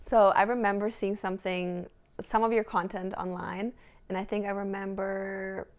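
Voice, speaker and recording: A woman's voice: medium pitch, little pitch movement, moderate pace, darkish tone, soft background music. A young woman from southern Germany, a guest in a casual podcast chat, talking hesitantly with fillers and restarts. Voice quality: creaky.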